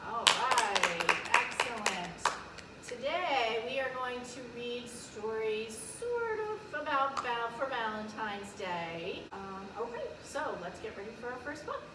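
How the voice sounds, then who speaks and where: A woman's voice: a little high, expressive, slow. A woman hosting a storytime for small children, leading them in an encouraging, instructional way. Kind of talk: leading preschool storytime with songs and counting rhymes. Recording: echoey room, clear background noise.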